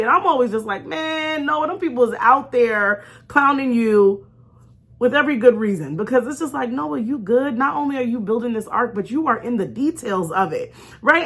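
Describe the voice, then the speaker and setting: A woman hosting an informal faith talk in an animated, conversational way, stressing and repeating key phrases and acting out imagined remarks. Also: fairly high pitch, husky. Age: adult with young children. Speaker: host of a faith storytime show.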